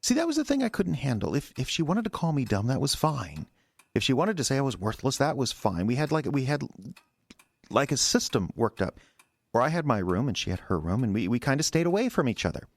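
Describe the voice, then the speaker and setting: An actor in an audio drama playing a resentful, defensive husband who stammers and rambles in a halting, wounded way. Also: male voice, mid-range voice, big pitch swings, no echo. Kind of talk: naturalistic audio drama acting.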